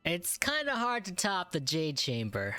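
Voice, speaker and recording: A woman's voice: on the low side, huge pitch swings, a little slow, bright, forceful tone, no echo. A woman giving casual gameplay commentary, reacting informally with sarcastic asides. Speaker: gamer reacting to game. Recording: game music faintly behind. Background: faint game music.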